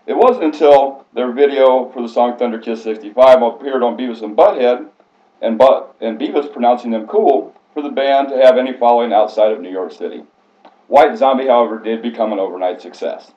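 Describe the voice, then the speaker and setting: A man's voice: medium pitch, brisk. A man reading a prepared biographical speech aloud in a formal, scripted way, stumbling now and then. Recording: echoey room, slightly muffled sound.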